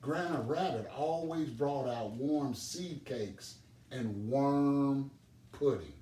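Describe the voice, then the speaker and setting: A man reading a children's storybook aloud as an expressive storyteller. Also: medium pitch, slow pace. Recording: roomy, distant microphone, background noise.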